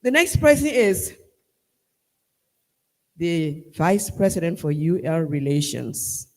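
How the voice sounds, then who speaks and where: A man with a Liberian accent giving a formal vote of thanks at a ceremony, measured and emphatic, rallying the crowd. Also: fairly high pitch, sing-song, a little mellow. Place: large hall at a university ceremony. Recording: long reverb of a large hall.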